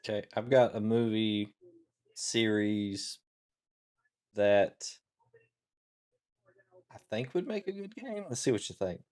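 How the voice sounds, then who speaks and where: A man's voice: lowish voice, lively intonation, slow, long pauses, darkish tone. A middle-aged man in casual conversation as a talk show co-host. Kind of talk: casual chat.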